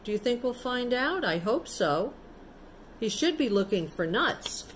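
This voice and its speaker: A woman teacher reading a picture book to children, pausing to explain things simply and ask the young listeners questions. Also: on the high side, sing-song, a little hiss or hum. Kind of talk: storybook read-aloud with chatty asides to children.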